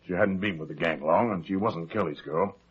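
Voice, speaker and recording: An actor playing a brisk, commanding old insurance company president in an old-time radio drama, businesslike and emphatic. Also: male voice, deep voice, husky. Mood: confiding, brisk. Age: older. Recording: muffled old radio broadcast recording.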